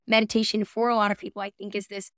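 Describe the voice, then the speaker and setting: A woman's voice: medium pitch, flat delivery, very fast, fairly bright tone, smooth, no room sound, clean studio sound. A woman co-hosting a podcast, talking casually and agreeably as she discusses her views. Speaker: podcast co-host. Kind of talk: casual discussion and review of ads.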